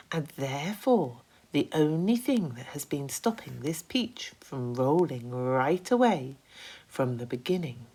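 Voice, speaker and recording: Kindly female ladybird for a children's tale, voiced by a woman reader: patient, reassuring, measured pace. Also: low-pitched, breathy edge, pitched below the performer's own voice, heavily acted. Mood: explaining. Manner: patient, explanatory.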